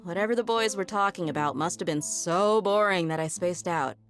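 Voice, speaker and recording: A woman's voice: lowish voice, a little fast, faint music behind, natural voice. A woman playing a brash, tough young fighter in a video game, casual and blunt. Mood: breezy, blunt.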